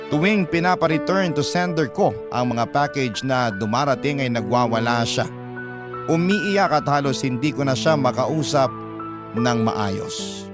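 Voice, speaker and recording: A man tells a scripted first-person story in the manner of a radio drama narrator. His pitch is medium, and music plays clearly behind him. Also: unhurried. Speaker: storyteller on a love stories radio show. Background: background music.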